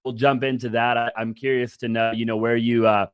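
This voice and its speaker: A man's voice: medium pitch, flat delivery, fairly quick, clear, resonant tone, dry recording. Man, podcast host, casual interview, rambling questions, dry sound. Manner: rambling, stacks fillers and restarts.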